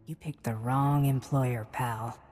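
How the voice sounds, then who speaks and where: Low-voiced tough bounty hunter. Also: female voice, steady tone, slow pace, darkish tone, slightly rough, long reverb tail, heavily acted. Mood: wry, threatening. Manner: curt, wry, no-nonsense. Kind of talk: video game voice acting.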